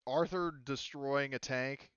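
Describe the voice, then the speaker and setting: A man chatting casually as a game master, explaining things in a halting, conversational way. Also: medium pitch, steady tone, a bit bright, smooth, no echo, lo-fi sound. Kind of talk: casual chat, running a tabletop game session.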